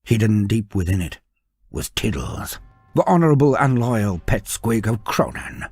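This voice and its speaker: Wry storybook narrator, breathy man. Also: fairly low pitch, sing-song, a little slow, darkish tone, no echo. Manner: dry, wry tone with playful sidebars. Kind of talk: storybook narration with humorous asides. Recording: faint music behind narration.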